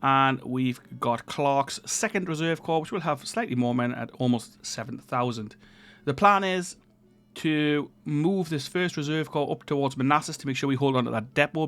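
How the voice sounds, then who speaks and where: A man commenting casually on a strategy game he is playing, sounding congested and croaky from a cold as he thinks aloud over the map. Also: mid-range voice. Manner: relaxed, thinking aloud with fillers while reviewing the map. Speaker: gaming channel host.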